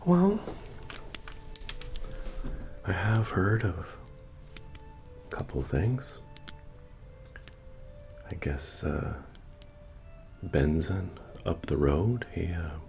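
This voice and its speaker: A man playing a friendly, humble medieval fantasy innkeeper in a soft-spoken, deep-voiced, understated ASMR roleplay. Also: very animated, very slow, vocal fry, long pauses, exaggerated voice. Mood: easygoing, musing. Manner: hesitant, rambling, understated delivery. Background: bard music.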